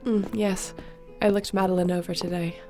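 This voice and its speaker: A woman voicing a court sorceress in a scripted audio roleplay, speaking gently and conversationally. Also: medium pitch, steady tone, moderate pace, faint music behind. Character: warm, loyal female court sorceress.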